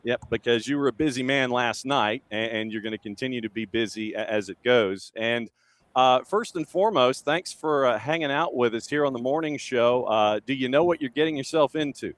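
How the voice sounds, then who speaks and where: A man's voice: mid-range voice, a little fast, no room sound. A man hosting a soccer morning show, chatting casually with a guest and joking at his own expense. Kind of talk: casual interview.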